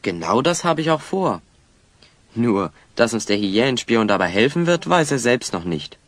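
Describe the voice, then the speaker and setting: Confident hero sleuth, mid-range voice, dry close sound, assured and probing, audio drama. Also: male voice, mid-range voice, very animated, moderate pace, a bit bright, no room sound. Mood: shrewd, thoughtful. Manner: assured, probing, clearly enunciated. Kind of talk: German children's audio drama acting.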